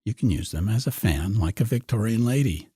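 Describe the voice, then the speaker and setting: Low, husky man in dry conversation. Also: expressive, a little fast, dark tone, no room sound. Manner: wry, musing. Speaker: podcast host. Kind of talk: conversational podcast discussion.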